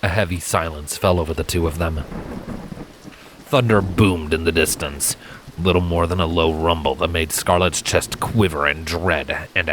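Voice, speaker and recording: A man reading a dramatic fantasy story as a scripted narrator, measured and deliberate. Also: sits low, a bit bright, slight background noise, poor audio. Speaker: fan fiction narrator.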